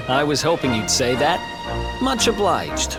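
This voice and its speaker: Wry, animated young adventurer. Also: male voice, fairly high pitch, slow pace, over loud music. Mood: friendly. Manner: casual, wry, teasing. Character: cheeky, wry young male adventurer sidekick. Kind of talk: video game voice acting.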